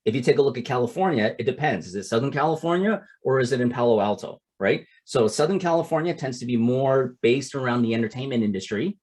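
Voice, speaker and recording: A man's voice: medium pitch, quick pace, few pauses, a little mellow. A middle-aged man, coaching an audience on finding investors. He speaks casually, often restarts his sentences and tags them with "right?". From Canada. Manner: conversational, repeats words and restarts, tags "right?". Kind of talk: seminar talk.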